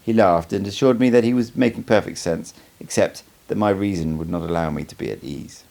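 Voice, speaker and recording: A man narrates a first-person story from a written text. His voice sits low, with plenty of fry in it, in the style of an amateur audiobook reader. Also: fairly quick, mellow.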